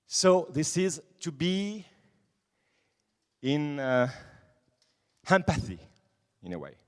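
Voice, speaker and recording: A man's voice: on the high side, very animated, smooth. French guy in his 20s giving a talk, kinda stumbly, keeps restarting, echoey big hall. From France. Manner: halting, frequent restarts, searching for English words. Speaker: conference speaker, night-life association president. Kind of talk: informal conference talk to an audience. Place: large conference hall. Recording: reverberant hall.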